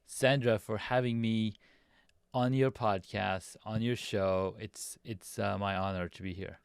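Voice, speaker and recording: A middle-aged man with a lower-than-usual, smooth and resonant voice, from Pakistan, Saudi Arabia and the US. He tells his story in an upbeat, chatty way, now and then restarting a phrase. The sound is dry and close, with little room in it. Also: steady tone, measured pace, dry recording. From grew up in Pakistan, Saudi Arabia and the US. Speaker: guest, designer and videographer. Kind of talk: casual storytelling on a video podcast.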